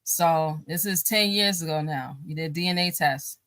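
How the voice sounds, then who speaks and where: A woman's voice: deep voice, normal speed, fairly bright tone, no room sound. A married woman, a guest on a talk show, speaking casually in a rambling way with many fillers and restarts, and often laughing as she talks. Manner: laughs often while talking.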